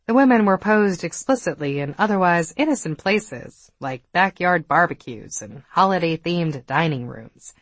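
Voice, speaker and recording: A woman narrates an audiobook novel. Her voice sits a little low and moves with lively intonation. The sound is very dry, like a studio booth. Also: normal speed, few pauses, no room sound. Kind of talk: scripted fiction narration.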